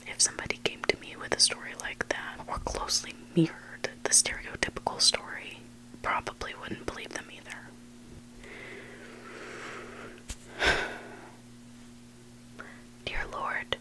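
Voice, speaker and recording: A person reading stories aloud in a breathy, hushed ASMR whisper, close to the mic. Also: moderate pace, low-quality recording. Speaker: host reading listener-submitted stories. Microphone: close mic, made for headphones.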